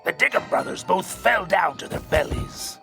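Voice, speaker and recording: A man's voice: high voice, lively intonation, normal speed, vocal fry. A man playing a ghoulish gravedigger who narrates scripted horror tales in a theatrical, macabre, mock-sentimental way. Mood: ominous. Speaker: horror story host and narrator. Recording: music behind the voice.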